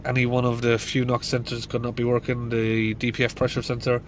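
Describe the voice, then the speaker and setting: Mechanic guy sitting in a van, stuffy hay fever voice, pretty monotone, bit of hiss behind. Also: mid-range voice, fairly quick. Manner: plain, thinking aloud with fillers. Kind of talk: casual diagnostic walkthrough. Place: inside a van in a garage.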